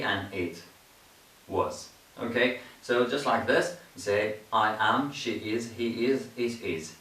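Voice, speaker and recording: A man's voice: fairly low pitch, a bit bright. A man teaching an English grammar lesson, explaining patiently, repeating points and often checking in with "okay?". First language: Persian. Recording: roomy, distant mic.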